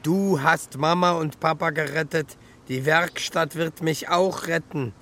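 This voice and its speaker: A plain-spoken old banger of a car talks in a children's radio play. The voice sits fairly high and moves slowly and emphatically, with some noise behind it. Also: male voice, steady tone, bright, forceful tone. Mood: matter-of-fact pride. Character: talking car, battered, plain-spoken, proud of being metal.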